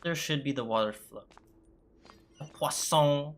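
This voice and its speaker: A woman's voice: low voice, a little slow, faint music behind. A woman streaming a game, reacting casually and playfully, toying with words in a goofy way.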